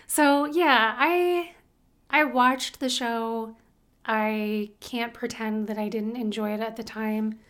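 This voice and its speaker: A woman's voice: a little high, fairly even intonation, slow pace, fairly bright tone. A woman in her thirties co-hosting a casual podcast, playful and chatty. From United States. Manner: playful, laughs, breaks into song. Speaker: podcast co-host. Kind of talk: casual chat.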